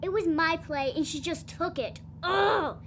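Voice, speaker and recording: Casting: female actor for sulky little daughter, petulant high voice, slight background noise, audio drama. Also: measured pace, fairly bright tone, heavily acted. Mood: indignant, sulky. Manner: whiny, petulant. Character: sulky, indignant young schoolgirl daughter. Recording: faint hum and noise.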